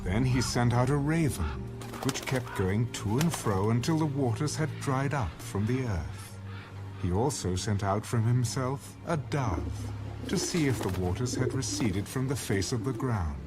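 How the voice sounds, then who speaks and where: A man narrating scripture from a script as an audio Bible, solemn, formal and measured. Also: mid-range voice, lively intonation. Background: music under the narration.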